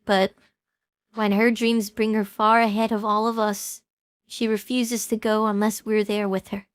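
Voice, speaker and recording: A woman in an audio drama playing a worried, loyal young streamer, speaking earnestly and haltingly. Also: mid-range voice, flat delivery, dry recording, studio quality, lightly acted. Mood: regretful, earnest. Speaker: voice actor in fan audio drama.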